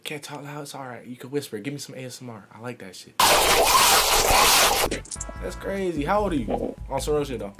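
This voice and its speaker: Young American man with lively, swinging pitch. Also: brisk, long pauses. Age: young adult. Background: loud music.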